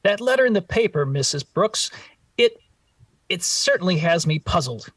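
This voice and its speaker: Man playing a mild, puzzled gentleman, husky and distant. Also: high-pitched, very animated, moderate pace, no echo, full character voice. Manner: hesitant, stammering, earnest. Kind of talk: 1940s-50s radio comedy acting.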